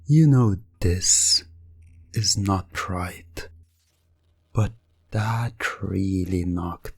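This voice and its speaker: Male ASMR hypnosis narrator, husky and breathy, very slow and measured, close dry sound. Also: low-pitched, darkish tone, no echo. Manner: measured, pausing between short phrases.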